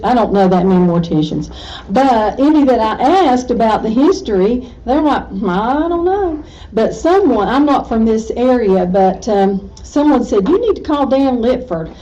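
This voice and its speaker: Woman, event host, fairly high pitch, conversational effusive introduction, dull recording. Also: animated, moderate pace, mellow. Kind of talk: spoken introduction to an audience. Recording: dull, muffled audio.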